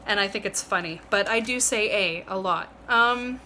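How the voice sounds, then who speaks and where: A woman with a Canadian accent chats straight to the camera, wandering from thought to thought with frequent ums and restarts. Her voice has a bright, forward tone, and a faint hiss sits under the recording. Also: mid-range voice, moderate pace. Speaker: vlogger. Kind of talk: casual chat to camera.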